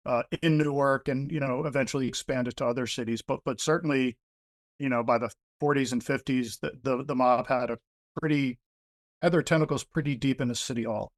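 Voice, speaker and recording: A man's voice: medium pitch, a little fast, no room sound. Conversational New man as author guest. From New Jersey. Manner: relaxed, conversational, many fillers like "you know". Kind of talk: interview answers.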